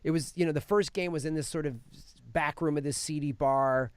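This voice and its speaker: A man with a slightly high voice hosts a casual film podcast in a dry studio. He retells things in an animated, sweary way and slips into acted-out quotes. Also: a little fast, clear, resonant tone, no echo. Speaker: podcast host reviewing films. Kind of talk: casual film review chat.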